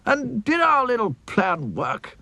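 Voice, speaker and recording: A man voicing an excitable, kindly cartoon doctor who muses aloud in drawn-out exclamations. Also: very high voice, creaky, no room sound, pitched above the performer's own voice, full character voice. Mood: cheerful. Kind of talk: children's storybook character voice.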